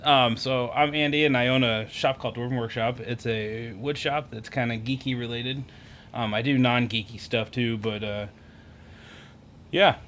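A man of middle pitch is a podcast guest, talking in a relaxed, joking way with pauses. He sits back from the mic, and faint noise and clicks sit behind him. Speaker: guest, woodworking shop owner. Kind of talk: casual podcast interview. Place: indoor recording room. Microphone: sitting far from a podcast mic.

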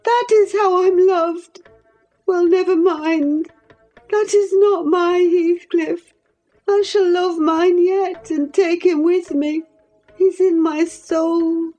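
A woman voicing a feverish, dying young woman who is passionate and yearning, speaking high and pleading. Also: fairly even intonation, slow pace, mellow, faint music behind, low-quality recording.

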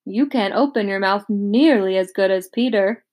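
A woman's voice: medium pitch, normal speed, a little mellow, close to an everyday voice. A woman voicing a genial, playful male dentist who teases a small patient, in a children's story reading. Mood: jovial. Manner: jovial, teasing.

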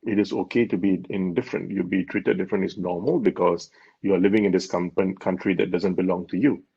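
Gravelly low-voiced man, earnest. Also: fairly even intonation, fairly quick, dark tone. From Malaysia. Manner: earnest, explanatory, laughs often. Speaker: guest. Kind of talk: conversational interview. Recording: dull lo-fi sound.

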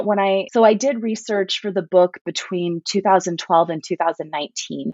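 A woman's voice: medium pitch, no echo. Thoughtful woman on a dull dry line. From United States. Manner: reflective, with filler words and restarts. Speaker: guest, sociology professor. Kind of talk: conversational interview answer.

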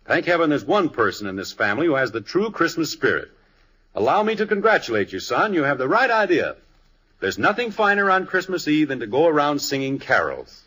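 A man's voice: on the high side, big pitch swings, fairly quick, pushed and bright, breathy edge, exaggerated voice, low-quality recording. An American actor playing an exasperated, indignant middle-aged father in a 1950s radio sitcom, blustery, sarcastic and emphatic. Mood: pompous approval.

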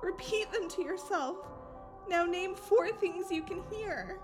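A woman's voice: very high-pitched, very slow, mellow, reverberant, in a large hall, full character voice. A woman acting as a frightened, loyal friend, emotional and imploring, in a horror audio drama. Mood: soft, coaxing. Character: terrified, loyal young woman pleading with possessed friend. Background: music.

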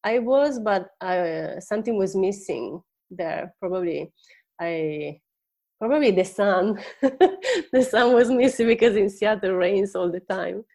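A woman's voice: medium pitch, a little slow, a little mellow. A woman in her forties with an Italian accent, a native Italian speaker chatting casually as a podcast guest and searching a little for words. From Italy. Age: 40s. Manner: hesitant, searching for words, often laughing. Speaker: podcast guest, life coach. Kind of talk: casual personal storytelling in an interview.

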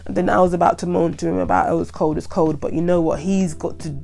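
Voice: medium pitch, flat delivery, fast talker, gravelly, a little mellow. A young adult podcaster with a stuffy head cold, rambling casually and repeating themselves. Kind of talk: casual solo monologue.

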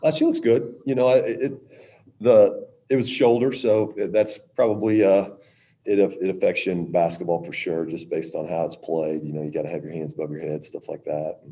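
A middle-aged man, a women's basketball coach, answering press questions in a halting way with many restarts. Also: deep voice, little pitch movement, dark tone.

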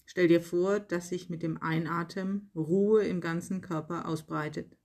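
A yoga nidra guide reading a scripted relaxation in calm, measured short phrases with pauses. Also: medium pitch. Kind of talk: slow guided relaxation meditation, read from a script.

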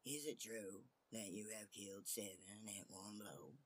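A man's voice: fairly low pitch, unhurried, husky. A man voicing a wise old prime minister of a fairy-tale court, formal and courteous. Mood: courteous, inviting.

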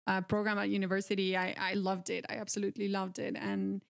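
A woman from Argentina, a translator guest, chatting in a relaxed interview. Her voice is smooth and clear at a middle pitch. She laughs easily and fills pauses with "um.". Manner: relaxed, laughs often, many fillers.

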